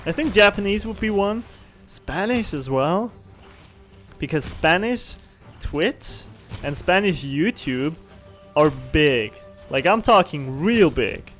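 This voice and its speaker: A streamer talks loosely while he plays, in a somewhat higher voice for a man. He wanders between thoughts, stops to fix his own words and often laughs softly. Faint game music plays underneath. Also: lively intonation, takes it slowly. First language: German. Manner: rambling, self-correcting, chuckles often. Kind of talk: casual chat while gaming on stream.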